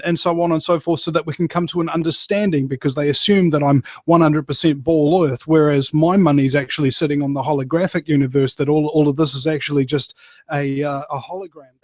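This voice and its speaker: A man explaining his views in a measured, articulate, thoughtful way. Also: a little high, darkish tone, dry recording, studio quality. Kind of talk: calm explanation.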